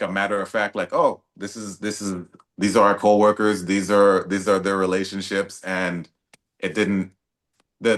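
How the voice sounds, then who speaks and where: A young man from Toronto, an actor guest talking in a relaxed, casual way with many fillers and restarts. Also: sits low. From Toronto, Canada. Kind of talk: casual interview talk.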